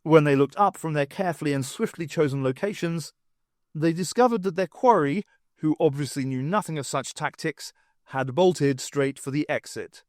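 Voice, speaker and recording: A male audiobook narrator tells a story with expressive, wry delivery. His voice sits a little high for a man. The sound is close and dry, with hardly any room to it. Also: moderate pace, no room sound. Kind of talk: dramatic scripted narration of fiction.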